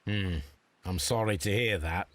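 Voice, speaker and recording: An actor playing a shrewd detective in a video game, speaking in a measured, deliberate, precise way. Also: male voice, fairly low pitch, a bit bright. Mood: guarded, bargaining. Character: shrewd detective posing undercover as a lockpick thief. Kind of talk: scripted video game acting.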